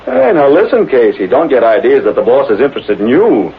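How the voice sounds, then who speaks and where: An actor playing a brash, slangy, wisecracking newspaper reporter in a 1930s radio drama. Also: male voice, mid-range voice, a little fast. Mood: eager. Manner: slangy, insistent, excitable. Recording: tinny, noisy 1930s radio broadcast.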